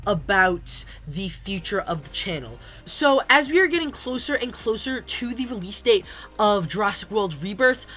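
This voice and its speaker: A young woman gaming creator, hoarse and raspy from being sick, chatting casually over her gameplay with frequent ums. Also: mid-range voice, very animated, normal speed, a bit bright. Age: young adult. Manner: chatty, many ums and restarts. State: hoarse from illness. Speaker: gaming YouTuber. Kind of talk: casual game commentary.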